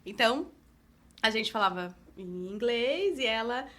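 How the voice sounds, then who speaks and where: Bright, higher-voiced woman co-host. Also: measured pace. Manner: lively anecdote telling. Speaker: podcast co-host. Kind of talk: casual podcast chat.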